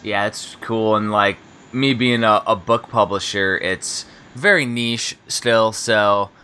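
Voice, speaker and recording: A man's voice: fairly low pitch, takes it slowly, sharp tone, clean tone. An American man in his thirties hosting a casual interview, rambling and laid-back with lots of fillers and laughs. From United States. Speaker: podcast host and book publisher.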